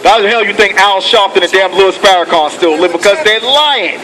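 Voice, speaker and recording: A man's voice: high voice, a little fast, crowd noise, low-quality recording. A man preaching outdoors on a city street, fiery and profane, pushing each point with "okay" and "come on". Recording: loud street noise outdoors.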